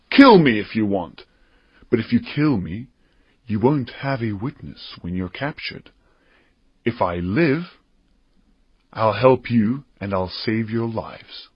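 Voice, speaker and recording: An actor playing an earnest, defiant boy adventurer in an audiobook drama, with a slightly husky voice. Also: mid-range voice, no room sound, lo-fi sound.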